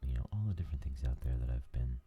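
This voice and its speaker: A man hosting a new ASMR gaming channel, talking in a soft whisper and rambling with lots of fillers. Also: a bass voice, lively intonation, racing, highs cut off, a little hiss or hum.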